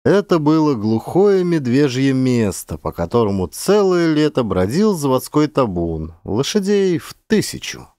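A man's voice: medium pitch, big pitch swings, unhurried, few pauses, dark tone, no room sound, clean studio sound. A man narrating a literary story as an audiobook actor, with dramatic, varied emphasis.

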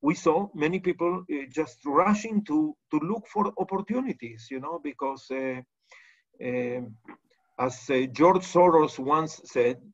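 Older Argentine-accented man on a video call. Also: on the high side. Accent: Argentine Spanish. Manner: thoughtful, repeats key phrases, frequent "uh" fillers. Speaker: economist guest. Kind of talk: unscripted expert answers on a video call. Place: home office with bookshelves. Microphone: video call webcam. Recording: slightly dull video call audio.

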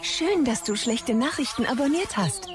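An actress playing a breezy, mock-friendly automated subscription program in a sci-fi audio drama. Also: female voice, high-pitched, animated, normal speed, over music. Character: cheery female automated bad-news subscription program. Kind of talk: sing-song automated announcement in audio drama.

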